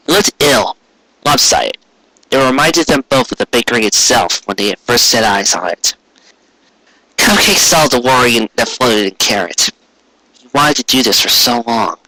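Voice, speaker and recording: A man's voice: medium pitch, bright, forceful tone, no room sound, low-quality recording. A man narrating a written story expressively, with earnest, dramatic phrasing. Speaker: story reader.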